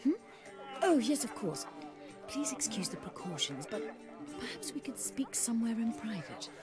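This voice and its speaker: A woman's voice: fairly high pitch, very animated, moderate pace, loud music behind the voice. Genteel, wry older noblewoman. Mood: guarded, courteous. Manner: formal, polite, wryly dry. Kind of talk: video game character acting.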